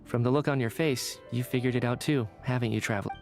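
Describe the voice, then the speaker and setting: A calm young intellectual played by a man in a video game, mid-pitched and deliberate. He reasons like a detective over faint music. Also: natural voice. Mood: composed. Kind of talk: scripted game dialogue.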